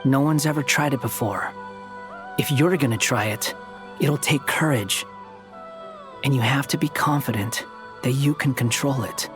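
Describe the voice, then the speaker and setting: A man's voice: mid-range voice, a little slow, long pauses, music in the background, natural voice. An actor playing a blunt, aloof young man in a fantasy game, with curt, dry, challenging delivery. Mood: dry, serious. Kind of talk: scripted game dialogue.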